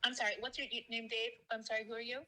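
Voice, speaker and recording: Bright-voiced, hesitant woman. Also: a little high, level tone, quick pace. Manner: hesitant, defensive. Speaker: newspaper reporter. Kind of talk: unscripted phone conversation.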